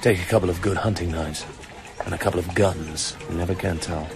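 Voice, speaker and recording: An actor playing a cool, suave British secret agent in a radio drama, understated and brooding. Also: male voice, sits low, steady tone, fairly quick, music in the background, lightly acted. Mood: businesslike.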